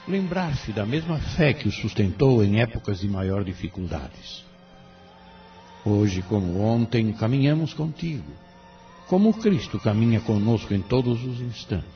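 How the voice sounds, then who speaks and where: A man's voice: on the low side, sing-song, unhurried. Man as a solemn Brazilian spirit guide, widely swooping. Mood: solemn, consoling. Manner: solemn, exhorting, oratorical. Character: benevolent fatherly male guardian spirit, solemn and consoling. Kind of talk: audio drama monologue. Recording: dull lo-fi audio with music behind.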